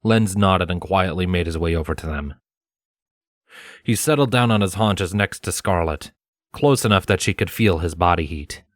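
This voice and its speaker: A man's voice: sits low, moderate pace, no echo. A man narrating fiction as a storyteller, reading from a script in a dramatized audiobook style.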